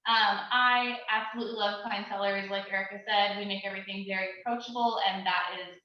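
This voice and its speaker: A woman's voice: medium pitch, steady tone, brisk, slight background noise. A woman chatting casually as a member of a winery's staff, recorded in an echoey space away from the microphone. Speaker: wine club staff member. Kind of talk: casual chat. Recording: echoey, muffled, distant microphone.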